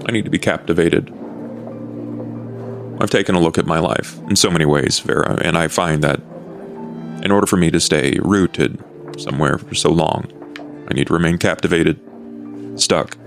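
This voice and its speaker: A man voicing a brooding, enigmatic male vampire who speaks in a deliberate, formal, roundabout way in improvised roleplay. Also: low voice, creaky, faint music behind. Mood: brooding, intrigued. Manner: deliberate, formal, talks in circles. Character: brooding, soft-spoken, enigmatic male vampire fixer named Duke.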